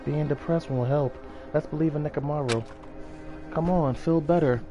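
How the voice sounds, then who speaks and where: A man voicing a gentle, encouraging teenage girl classmate from a video game. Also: fairly high pitch, normal speed, highs cut off, voice pushed up, close to an everyday voice. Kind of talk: reading game dialogue aloud. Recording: game music behind the voice.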